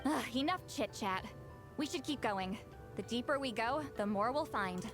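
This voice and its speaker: A woman's voice: on the high side, animated, normal speed, lightly acted. A woman playing a stern, impatient expert guide in a video game, speaking curtly and with authority. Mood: irritated. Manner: curt, authoritative. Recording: game music behind voice.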